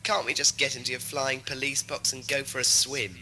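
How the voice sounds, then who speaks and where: A man's voice: mid-range voice, flat delivery, fairly quick, sharp tone, soft background music. A man playing a sceptical, sarcastic young Earthman companion in a science fiction audio drama, full of questions. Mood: sarcastic, exasperated.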